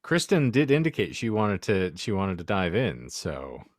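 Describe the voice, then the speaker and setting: Very deep-voiced man, dry delivery. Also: huge pitch swings, moderate pace, no echo. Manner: dry, hesitant. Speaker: podcast host. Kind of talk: casual banter.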